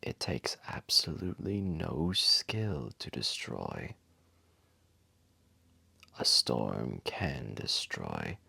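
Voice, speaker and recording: Huge ancient dragon, deep creaky voice, extremely slow, solemn, tender, wise storyteller. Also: male voice, bright, forceful tone, no room sound, heavily acted. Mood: solemn, regretful. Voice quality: gravelly, breathy, half-whispered. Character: ancient, massive, wise dragon, gentle and remorseful. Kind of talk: slow dramatic storytelling to a single listener.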